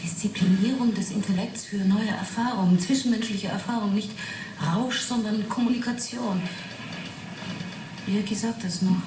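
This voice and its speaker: Radio play witness: actress as mid-pitched woman, matter-of-fact but fascinated, halting delivery, roomy and noisy. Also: lightly acted. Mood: recounting, thoughtful. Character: young woman witness recounting an uncanny experiment, matter-of-fact yet fascinated. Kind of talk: German radio play acting.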